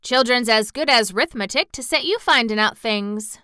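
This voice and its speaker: A woman reading puts on a bright, eager young housemaid from a storybook, chattering away. Her voice sits higher and louder than the narration, crisp and smooth in a dry, close setting. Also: moderate pace, no room sound. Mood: chatty. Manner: broad dialect, eager and chatty. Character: cheerful young Yorkshire housemaid, homely and talkative.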